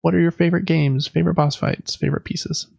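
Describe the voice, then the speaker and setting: A man's voice: a little high, steady tone, quick pace, gravelly, a little mellow, no echo, muffled recording. A man hosting a podcast in casual chat, rambling a little and correcting himself as he goes. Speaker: podcast host. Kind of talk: casual chat about video and board games.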